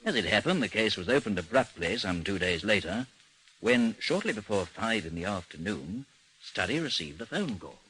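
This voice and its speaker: An actor playing a weary investigator, narrating a case in formal, measured old-time radio style with a husky voice. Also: male voice, lowish voice, big pitch swings, bright, forceful tone, full character voice, poor audio. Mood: grave, matter-of-fact. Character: world-weary male investigator narrating his case report.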